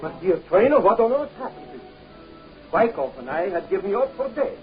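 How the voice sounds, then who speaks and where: An actor playing a suave, evasive archaeologist in a 1930s film serial, with a formal, courteous, theatrical manner. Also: male voice, high-pitched, sing-song, brisk. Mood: feigned amazement. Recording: dull 1930s film soundtrack, faint music.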